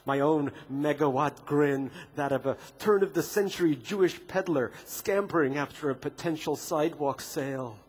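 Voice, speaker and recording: A man's voice: fairly high pitch, normal speed, few pauses, a little mellow, slightly rough. A man reading aloud from his own memoir at a literature festival, with a dry, ironic delivery and comic timing. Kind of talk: reading aloud from a memoir, wry deadpan humor. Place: festival stage before an audience.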